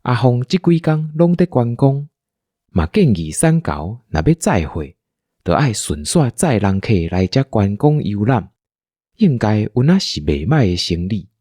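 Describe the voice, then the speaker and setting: A man with a Taiwanese accent narrating a scripted story as an audio drama narrator, in a husky voice. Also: mid-range voice, very animated, unhurried, mellow, dry recording, clean studio sound.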